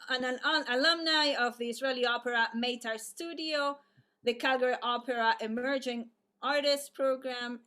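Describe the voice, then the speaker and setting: Fairly high-voiced woman on a video call, lots of ums and restarts, introducing a guest. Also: fairly bright tone. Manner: halting, many fillers and restarts. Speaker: arts and culture programmer introducing a guest. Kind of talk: online event introduction.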